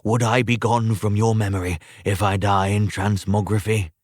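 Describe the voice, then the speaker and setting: A man's voice: fairly low pitch, level tone, moderate pace, dry recording, studio quality, natural voice. A man playing a bitter, bewildered old man in a storybook reading, with formal, old-fashioned speech. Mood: questioning.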